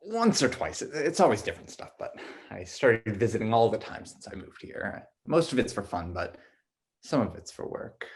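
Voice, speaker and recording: A young man acting on stage as an offhand, quietly morbid dating partner, speaking in a casual, halting way. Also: lowish voice, very animated. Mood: awkward, casual. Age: young adult. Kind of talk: student stage acting, naturalistic dialogue.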